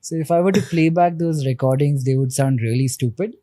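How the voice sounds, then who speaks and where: A man in his thirties with an Indian accent, a podcast guest explaining things in a measured way with frequent pauses. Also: on the high side, mellow, no echo, clean studio sound. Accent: Indian English. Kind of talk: podcast interview.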